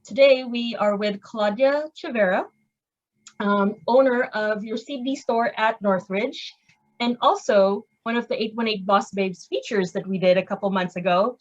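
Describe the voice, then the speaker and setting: A woman's voice: mid-range voice, normal speed. Chatty woman host on a dull call. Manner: chatty, friendly, rambling with fillers and laughs. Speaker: interview host. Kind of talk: video call interview. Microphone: video call.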